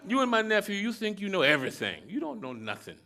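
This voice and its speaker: A man voicing a gruff older male mechanic who lectures a young man in a rough, drawling way. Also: high voice, wildly swooping pitch, fast talker, sharp tone, pitched above the performer's own voice, full character voice. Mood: scolding.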